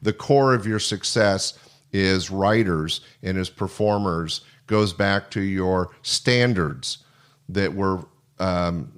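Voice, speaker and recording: An older man hosting a podcast in casual conversation, talking in an earnest, wordy, halting way. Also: sits low, clean tone. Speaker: podcast host. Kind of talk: casual interview chat.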